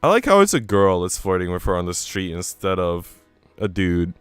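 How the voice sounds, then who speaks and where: Low, clear-voiced man streaming over music. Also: sing-song, moderate pace, fairly bright tone. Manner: chatty asides between narrated passages. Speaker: game streamer. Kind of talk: visual novel read-aloud with casual stream chat.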